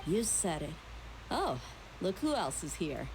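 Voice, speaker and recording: A woman voicing a brisk, loyal, informal companion character in a video game. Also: fairly low pitch, very animated, a little mellow, a little hiss or hum, low-quality recording. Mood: upbeat, brisk.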